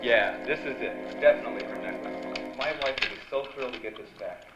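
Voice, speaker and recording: A man's voice: medium pitch, fairly quick, over loud music, close to an everyday voice. An actor playing a wisecracking, hardboiled newspaper reporter in a noir radio drama, with wry tough-guy slang. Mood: faking hesitation. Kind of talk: noir radio drama acting with first-person narration. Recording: dull, noisy live radio-play recording.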